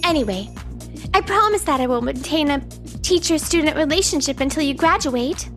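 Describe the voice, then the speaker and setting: A woman's voice: sits high, animated, brisk, a bit bright. A woman voicing a strict but naive and earnest young teacher in a manga story dub. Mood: earnest, firm. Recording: background music under the voice.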